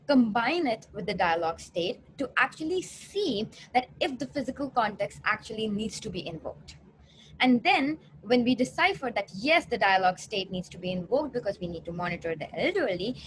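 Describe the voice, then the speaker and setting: Female researcher, lively intonation, academic talk, explanatory delivery, occasional stumbles and restarts, noticeable background noise. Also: a little high, poor audio. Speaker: researcher presenting a paper.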